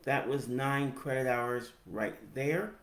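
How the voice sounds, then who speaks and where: Slow, halting American man in echoey room. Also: medium pitch. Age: 40s. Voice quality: speech impediment. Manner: halting, deliberate phrasing with pauses. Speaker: higher education professional telling own story. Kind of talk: personal reflective narration. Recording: roomy, echoey sound.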